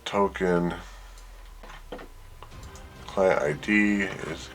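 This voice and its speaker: A man presenting an informal software tutorial, thinking aloud as he works through each step. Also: low-pitched, fairly even intonation, extremely slow, faint music behind. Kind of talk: casual screen-share walkthrough.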